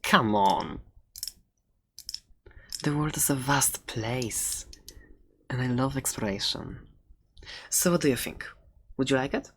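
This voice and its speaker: Someone doing a chatty dragon egg seller for asmr roleplay, playful n rambly, takes it real slow, bit sarcastic. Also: medium pitch, a little hiss or hum, close to an everyday voice. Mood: helpful, chatty. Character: chatty, excitable dragon-egg shopkeeper and adventurer. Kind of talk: soft-spoken ASMR roleplay.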